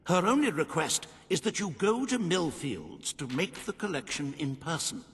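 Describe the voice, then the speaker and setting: Courteous royal adviser, echoing hall. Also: male voice, fairly high pitch, sing-song, fairly bright tone, a little hiss or hum. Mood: courteous, wry. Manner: formal, polite, measured diction. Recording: long hall reverb.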